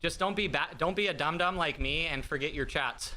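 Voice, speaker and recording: Bright-voiced, rambling male streamer. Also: fairly quick. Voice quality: bright, higher-pitched voice. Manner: rambling and self-deprecating, with frequent fillers and laughs. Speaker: livestream host. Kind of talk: casual chat with live viewers. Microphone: desk streaming mic through an audio mixer.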